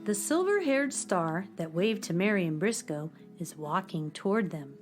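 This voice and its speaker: A woman giving gentle, scripted storybook narration for a children's radio play. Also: on the low side, expressive. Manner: slow, gentle, descriptive. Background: guitar music.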